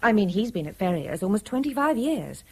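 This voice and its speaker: An actress playing a sharp-minded, protective, indignant woman with a British accent, insistent and probing, in a radio drama. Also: medium pitch, sing-song, fairly quick, husky.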